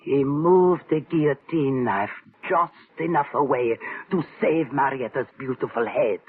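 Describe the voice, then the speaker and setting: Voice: medium pitch, a little slow, narrow, tinny audio, lightly acted. An actor playing a secretive, fearful, insinuating accomplice in a vintage radio suspense drama. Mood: insistent, sly. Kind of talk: 1940s-50s radio suspense acting.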